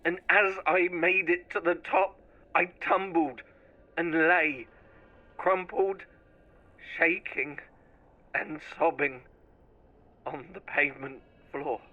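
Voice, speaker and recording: A man narrating a scripted first-person horror story in a deliberate, theatrical storytelling manner. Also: on the high side, fairly even intonation, muffled recording.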